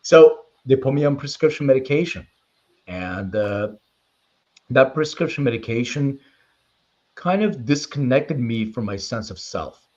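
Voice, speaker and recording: A man's voice: mid-range voice, animated, a little slow, darkish tone. A man in a slightly muffled, lo-fi recording speaks with a mid-range voice as an interview guest. He explains things informally and leans on anecdote, in an easy conversational style. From born in Lithuania, raised in Philadelphia from age six. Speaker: interview guest, precision medicine company leader. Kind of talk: conversational interview answers and personal storytelling.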